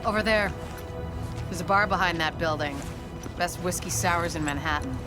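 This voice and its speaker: A woman's voice: lowish voice, moderate pace, lightly acted. A woman playing a determined, loyal agent in a video game, speaking in an earnest, informal, resolute way. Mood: wry, hopeful. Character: determined female government agent, gritty and loyal. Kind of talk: video game cutscene acting. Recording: loud music behind the voice.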